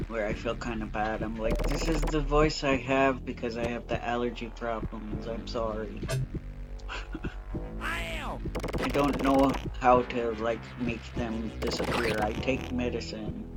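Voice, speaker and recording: A man's voice: mid-range voice, animated, slow pace, low-quality recording. A man with a nasal, stuffy voice from allergies, chatting casually and a bit apologetically as a let's play host at home. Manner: rambling, apologetic, chatty with fillers. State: chest bothered from bonfire smoke. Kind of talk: casual chat while gaming. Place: home basement. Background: game music.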